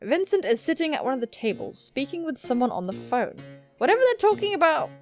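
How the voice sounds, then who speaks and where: High-pitched, very animated woman gamer. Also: a little fast, soft background music. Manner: expressive, theatrical, jokey swearing. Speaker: gaming video host. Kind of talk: playful let's-play commentary, reading a visual novel aloud.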